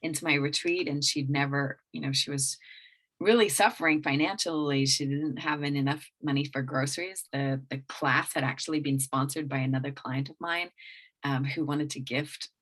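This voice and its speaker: Low-voiced woman with a mixed accent. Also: steady tone, moderate pace, fairly bright tone. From England. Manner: rambling with many restarts and fillers. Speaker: guest business coach. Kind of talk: casual interview talk.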